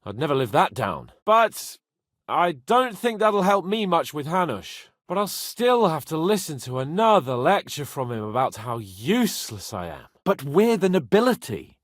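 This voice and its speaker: A man's voice: a little high, sing-song, normal speed, no room sound, studio quality. A man voicing a haughty, hot-tempered young nobleman with an imperious, theatrical manner in a medieval role-playing video game. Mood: bitter, self-pitying.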